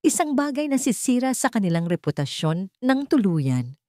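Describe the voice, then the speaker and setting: A woman's voice: a little high, sing-song, moderate pace, warm tone, dry recording, clean studio sound, voice pushed up. A woman playing a remorseful, resolute young woman in a romantic drama story.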